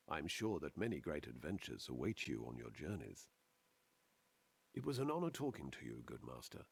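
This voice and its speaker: Gravelly, weary old storyteller. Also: male voice, low-pitched, voice pushed down, exaggerated voice. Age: older. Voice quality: gravelly, husky. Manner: formal, courteous, repeats phrases musingly. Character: humble, weary old male storyteller, courteous and mysterious.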